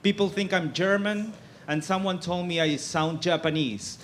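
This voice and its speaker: A man from Argentina doing a stand-up set on a comedy club stage, with a halting delivery full of fillers. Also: high-pitched, a bit bright, a little hiss or hum.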